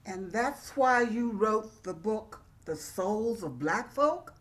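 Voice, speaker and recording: A woman playing a thoughtful, curious poet in a dramatic reading, speaking in a deliberate, expressive, reflective way. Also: medium pitch. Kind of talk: dramatic reading of historical dialogue.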